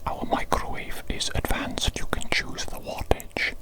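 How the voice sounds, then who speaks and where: A woman's voice: measured pace, close to an everyday voice. A woman whispering an ASMR roleplay as a pompous, condescending evil doctor, close to the microphone. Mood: exasperated. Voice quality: whispering throughout. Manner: haughty, self-important, easily exasperated.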